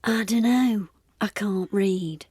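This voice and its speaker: A woman playing a gruff, defiant young thief among female penitents in a period audio drama, blunt and slangy. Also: mid-range voice, takes it slowly, a little mellow, no echo. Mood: urgent, threatening. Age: young adult. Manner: blunt, surly.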